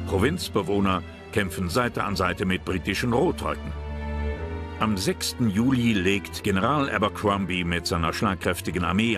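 A man reading scripted documentary narration in a measured, dramatic storytelling manner. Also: fairly low pitch. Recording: music under the voice. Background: background music.

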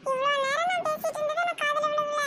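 A bossy big-sister girl in a cartoon comedy. Her pitched-up voice is extremely high and tiny, nagging and teasing, with loud noise behind it. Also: fairly even intonation, fast talker. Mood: complaining.

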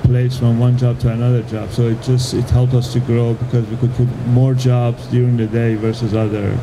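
Even-toned middle-aged man, noisy hall. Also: medium pitch, normal speed. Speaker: panelist, print shop owner. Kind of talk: conversational interview answer. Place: large indoor exhibition hall. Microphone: handheld microphone. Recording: noisy trade show floor, ventilation hum.

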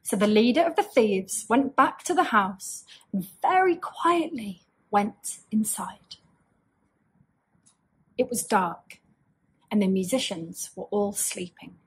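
Slow, husky young woman storyteller. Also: medium pitch, animated. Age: young adult. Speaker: story reader. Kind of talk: slow children's story reading. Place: small indoor room with bookshelves.

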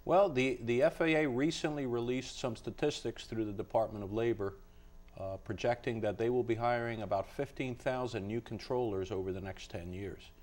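A man in his fifties answering interview questions as a college dean, explaining in a halting, measured way in a studio. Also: medium pitch, darkish tone. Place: indoor TV studio. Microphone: lapel.

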